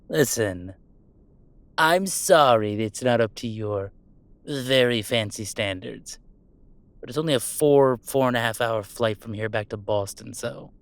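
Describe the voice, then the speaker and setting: A man's voice: mid-range voice, slow, long pauses, no room sound. Man playing wisecracking werewolf streamer, dry close sound. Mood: sarcastic teasing. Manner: playful teasing banter with dramatic sarcasm. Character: wisecracking young male werewolf streamer, affectionate and self-deprecating. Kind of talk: one-sided roleplay audio drama.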